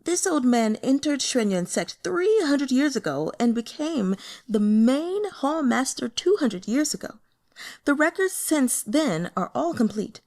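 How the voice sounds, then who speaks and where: A woman's voice: fairly high pitch, a little mellow, voice pushed up. A woman voicing a plump, middle-aged male sect elder who fawns over his superior, raising her voice above her narrating tone. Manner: obsequious, eager to please.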